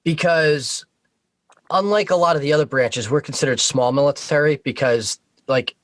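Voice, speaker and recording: A man's voice: fairly high pitch, normal speed, a bit bright, dry recording. Frank, plain-spoken man in a dry-sounding recording. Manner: frank, profane. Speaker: guest on a talk show, Coast Guard member. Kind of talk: casual explanatory chat.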